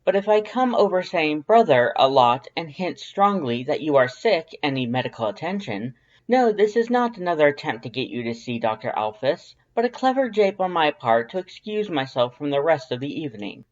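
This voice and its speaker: A woman's voice: low voice, big pitch swings, moderate pace, muffled recording. Woman playing a theatrical, prissy male skeleton, swooping intonation. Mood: prim, teasing. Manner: formal, grandiose, enunciated delivery with ornate words. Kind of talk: fan fiction audio drama acting.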